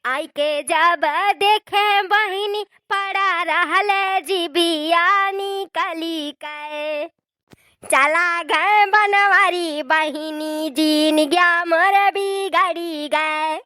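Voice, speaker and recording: A very high, bright voice a cartoon village widow in comic mourning. She keens in a drawn-out Awadhi singsong, very slowly and theatrically. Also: no echo, clean studio sound, full character voice. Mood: wailing grief. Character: wailing village widow lamenting her dead husband in comic mourning. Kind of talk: cartoon comedy mock lament.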